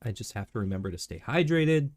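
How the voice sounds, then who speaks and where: A man streaming live and chatting casually while sick, clearing his throat, rambling with many fillers and restarts. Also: lowish voice, sing-song, fast talker, darkish tone, no echo.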